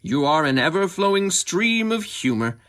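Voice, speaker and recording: A man's voice: high voice, very animated, moderate pace, fairly bright tone. A man playing a solemn, kindly but dim Martian king, speaking formally in a comic sci-fi audio play. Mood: fond, earnest. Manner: formal, stately.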